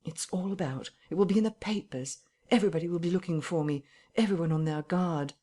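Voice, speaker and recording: A menacing unseen man, as a reader puts him on, clipped and impatient. He threatens with quiet emphasis and curt commands. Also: mid-range voice, sing-song, normal speed, slightly rough.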